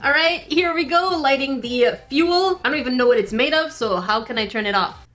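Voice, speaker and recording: A woman's voice: high-pitched, moderate pace, a bit bright, a little hiss or hum. A woman hosting a casual craft-kit test vlog from her home craft room, chatty and playful with jokey asides. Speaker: craft channel host.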